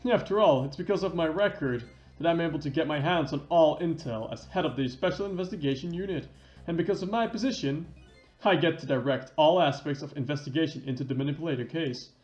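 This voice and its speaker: A man's voice: fairly high pitch, fast, darkish tone, soft background music, lightly acted. A man voicing a cocky, devoted investigation chief with a swaggering manner. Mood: smug. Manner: swaggering, says "baby" as a tag. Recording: dull lo-fi roomy mic.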